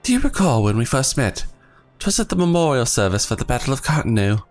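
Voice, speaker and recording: A man reading the lines of an earnest young male scholar in his own voice, with formal, archaic phrasing and a voice that is going hoarse. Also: mid-range voice, very animated, fast, soft background music, close to an everyday voice. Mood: solemn. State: losing his voice.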